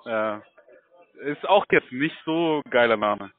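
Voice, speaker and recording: A man with a mid-pitched voice chats casually as a caller. His speech is informal and comes through a narrow, tinny phone line. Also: animated, slow. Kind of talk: casual chat.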